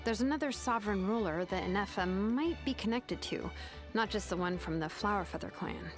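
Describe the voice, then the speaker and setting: A woman's voice: medium pitch, fairly quick, natural voice. An actress voicing a friendly, candid woman in a video game, explaining things conversationally. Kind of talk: scripted game dialogue. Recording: game audio with music underneath.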